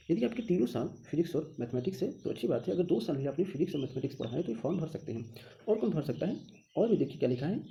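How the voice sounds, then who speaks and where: A man's voice: a little high, vocal fry, dark tone. A man with an Indian accent teaching informally in an explainer style, posing questions and answering them himself with frequent check phrases. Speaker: online teacher explaining a job exam notification.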